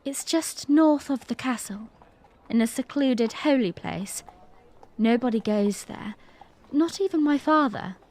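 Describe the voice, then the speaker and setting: A woman's voice: high voice, a little slow, darkish tone. A woman playing a young, playful, romantic princess who tells her story in a genteel, tender way in a fan audio drama. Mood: playful, warm.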